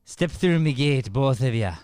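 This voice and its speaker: An actor playing a sinister older Irish man in an improvised radio play, coaxing and quietly menacing. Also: medium pitch, lively intonation, unhurried, clear, resonant tone, dry recording, lightly acted. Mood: coaxing menace. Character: sinister older Irish man luring boys into his house.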